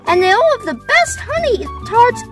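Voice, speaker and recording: A woman voicing an excitable little boy bee in an amateur cartoon comic dub, bubbly and full of exclamations. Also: very high-pitched, moderate pace, over loud music. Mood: excited.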